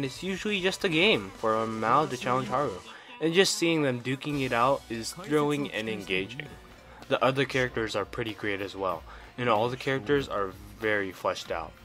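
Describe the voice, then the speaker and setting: A man's voice: medium pitch, fairly bright tone. A man narrating a scripted video game review in an enthusiastic, opinionated way. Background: background music.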